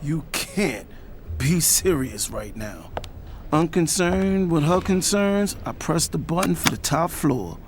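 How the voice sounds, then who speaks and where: Actor as a brash American hothead, animated voice. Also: male voice, a little high, slow, background noise. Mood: unbothered, cocky. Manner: brash, slangy, cocky. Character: hot-tempered, swaggering male record label boss, protective lover. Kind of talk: first-person audio drama narration with dialogue. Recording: loud music behind the voice.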